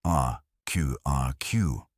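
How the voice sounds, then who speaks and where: Earnest constable read by a male narrator: very low, husky, bewildered, literal-minded, radio mystery. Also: animated, drawn-out words, no room sound, clean studio sound, exaggerated voice. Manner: puzzled, earnest.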